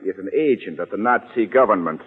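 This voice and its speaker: An actor playing a smooth-mannered, menacing Nazi agent posing as an investigator in an old-time radio thriller. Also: male voice, lowish voice, animated, quick pace. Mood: officious. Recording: tinny, band-limited old radio recording.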